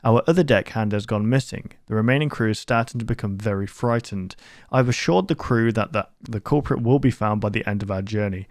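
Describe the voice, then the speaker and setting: A man playing a grave investigator in scripted analog horror narration, formal, measured and ominous. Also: lowish voice, dry recording, studio quality, natural voice. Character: grave investigator reading a doomed ship's log.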